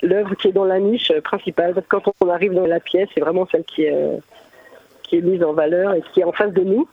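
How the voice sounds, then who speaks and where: A woman, an artist explaining her work in a conversational radio interview, pausing often as she searches for words. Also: fairly low pitch, steady tone, breathy edge. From Mamers, France. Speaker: visual artist, interview guest. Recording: muffled phone-like narrow band.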